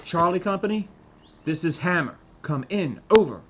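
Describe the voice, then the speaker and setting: An actor playing a commanding, skeptical American army major in a war horror audio drama, brusque and barking orders. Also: male voice, high voice, lively intonation, normal speed. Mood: urgent command. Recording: dull lo-fi audio, faint noise.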